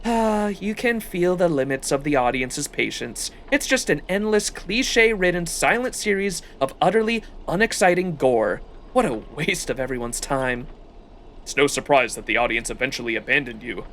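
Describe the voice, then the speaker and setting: A man's voice: a little high, expressive, moderate pace, a bit bright, slight background noise. A man voicing a blunt, cynical warrior servant from a game in a dry, dismissive manner. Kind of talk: acted reading of game dialogue.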